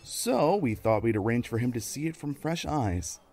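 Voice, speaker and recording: A man's voice: mid-range voice, big pitch swings, moderate pace, darkish tone. An actor playing a bemused, wry male accomplice in a comedic audio drama.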